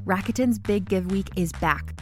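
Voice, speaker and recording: A woman's voice: medium pitch, moderate pace. A woman reading an ad script in an upbeat, promotional way. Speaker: ad reader. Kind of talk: scripted ad read. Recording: music bed behind.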